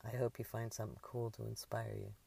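A woman voicing a friendly, easygoing older man with a soft manner in an audiobook story. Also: rumbling low, flat delivery, brisk, gravelly, husky, far from the mic, pitched below the performer's own voice, heavily acted.

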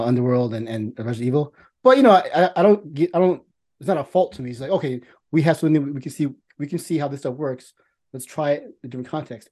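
A man hosting a casual film discussion in a rambling, halting way, often restarting his sentences. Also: mid-range voice, dark tone. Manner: profane. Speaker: podcast host.

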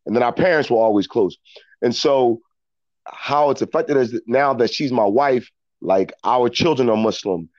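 A middle-aged American man telling reflective personal stories as an interview guest, in a halting way, often repeating words as he thinks. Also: mid-range voice, darkish tone, dry recording, lo-fi sound. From Philadelphia, United States. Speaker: interview guest, actor and storyteller. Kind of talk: reflective personal storytelling in conversation.

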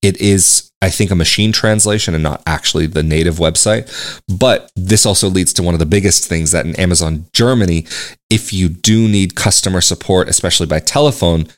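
A man from the United States with a very low voice talks through everyday life abroad in a relaxed vlog style. He rambles a little, circling back with restarts and side remarks. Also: brisk. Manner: conversational, rambling with restarts and asides. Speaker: expat vlogger. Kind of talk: casual talk to camera. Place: home in Portugal.

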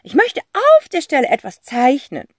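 A woman voicing an eccentric, exuberant artist aunt who talks in a high, theatrical, gushing way, in an audiobook. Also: wildly swooping pitch, normal speed, no room sound, clean studio sound. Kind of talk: audiobook character voice.

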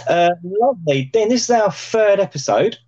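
A man hosting a podcast over a video call, chatty and joking with lots of fillers, his voice a little croaky. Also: high-pitched, lively intonation, normal speed, a little mellow, muffled recording. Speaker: podcast host. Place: plant room.

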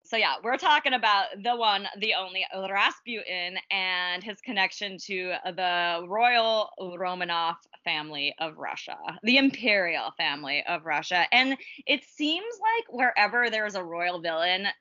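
A woman's voice: medium pitch, expressive, unhurried, few pauses, sharp tone, no echo. Woman, podcast co-host, giggly, chatty, dry close sound, lo-fi. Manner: bubbly, giggly, lots of "like". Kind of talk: casual chat.

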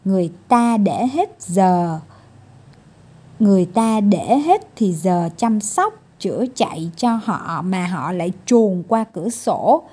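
A woman's voice: mid-range voice, animated, takes it slowly, warm tone, breathy edge. A woman voicing a tiny male doctor in a children's tale, patient and coaxing but mildly exasperated. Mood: grumbling. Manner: coaxing and scolding.

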